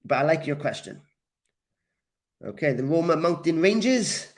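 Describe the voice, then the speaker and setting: A man from Wales teaching a live English class, deliberate and encouraging, repeating key words for learners as he responds to comments. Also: a little high, very animated, a little mellow. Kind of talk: live grammar lesson, reacting to comments.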